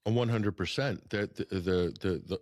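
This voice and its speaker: Deep-voiced man giving political commentary. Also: a little slow, a little mellow. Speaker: interview guest.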